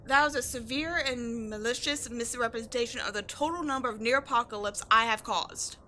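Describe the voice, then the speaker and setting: Tomboy adventurer archaeologist for roleplay audio, female actor: young woman, high-pitched, sharp and bright, cocky bluster over a soft heart, slangy teasing delivery. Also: fairly quick, a little hiss or hum. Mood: indignant.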